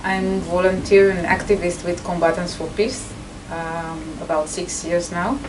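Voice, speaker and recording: An older woman introducing herself as a retired educator and activist in simple, halting English. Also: fairly low pitch, fairly even intonation. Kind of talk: personal introduction in an interview. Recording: distant mic, clear background noise.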